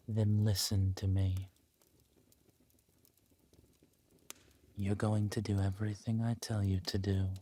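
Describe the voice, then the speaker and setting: A man playing a menacing, possessive villain warlord and protective lover in a scripted roleplay audio drama, speaking deliberately and commandingly, dropping into breathy murmurs. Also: on the low side, a little mellow. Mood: resigned, commanding.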